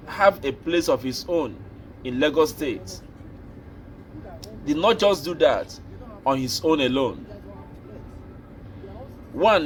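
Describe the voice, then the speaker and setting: A Nigerian man hosting an opinion show, speaking slowly and deliberately, like a preacher. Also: fairly high pitch, rough recording. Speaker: commentary host. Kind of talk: opinion commentary.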